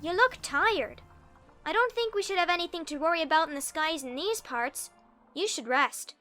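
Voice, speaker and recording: Small female fairy ranger, played by a woman: very high, bright voice, feisty and bold, audio drama. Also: expressive, normal speed, soft background music, heavily acted. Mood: insistent.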